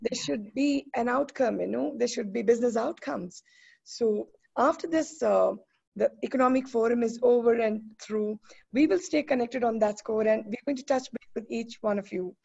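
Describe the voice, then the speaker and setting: A woman moderating an online panel, effusive and a little halting, heard over a video call. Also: a little high, gravelly, husky. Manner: warm, effusive, halting with fillers. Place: indoor room. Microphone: laptop webcam on video call. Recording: muffled video call audio.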